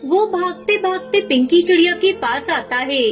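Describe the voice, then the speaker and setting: A woman narrates a scripted children's tale. Her voice is extremely high. Faint music plays behind her. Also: animated, normal speed. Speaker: story narrator.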